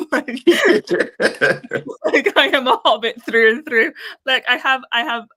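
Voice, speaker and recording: A woman's voice: high-pitched, takes it slowly, bright, forceful tone, dry recording. A woman from Wales with an accent mixing Welsh, northern English and Northern Irish, chatting casually and reading viewer comments on a livestream, with plenty of fillers and laughs. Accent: mixed Welsh, northern English and Northern Irish. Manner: chatty, giggly, lots of fillers. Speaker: livestream co-host.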